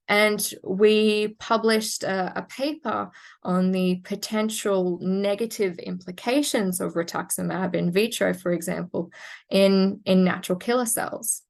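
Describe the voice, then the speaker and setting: Hoarse woman giving a lecture. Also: medium pitch. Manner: measured, technical, with frequent fillers and restarts. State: losing her voice, hoarse. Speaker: medical researcher presenting. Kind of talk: academic webinar presentation.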